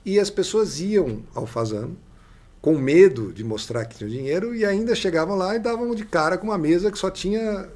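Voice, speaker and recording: A man's voice: high-pitched, expressive, moderate pace, a little mellow. A man in his sixties with a São Paulo Brazilian accent, telling stories conversationally as a podcast guest, repeating key phrases for emphasis. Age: 60s. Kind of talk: animated conversational storytelling.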